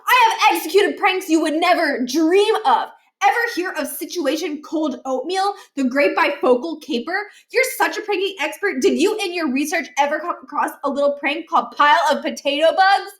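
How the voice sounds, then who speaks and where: A cocky young prankster from a children's storybook, acted by a woman. The voice is high and emphatic, bragging with hot-headed indignation. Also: expressive, moderate pace, hardly any pauses, a bit bright. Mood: angry bragging.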